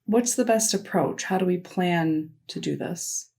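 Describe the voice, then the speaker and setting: A woman voicing a signed conference keynote into spoken English, delivering it in short, measured phrases with pauses. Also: on the low side, dark tone, breathy edge. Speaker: sign language interpreter voicing a keynote. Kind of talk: simultaneous interpretation of a conference talk.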